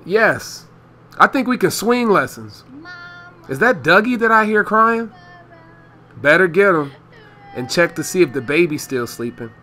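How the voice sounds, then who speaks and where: A man's voice: high-pitched, very animated, unhurried, long pauses, pushed and bright, faint music behind, heavily acted. An American man playing a genial, folksy father of a young family in a radio play. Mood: folksy, fond. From United States.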